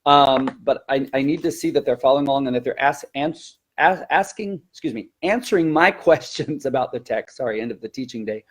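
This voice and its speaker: A man's voice: mid-range voice, a little fast, hardly any pauses. Man explaining as a teacher, self-correcting. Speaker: elementary Spanish teacher leading a webinar. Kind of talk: explanatory teacher-training talk.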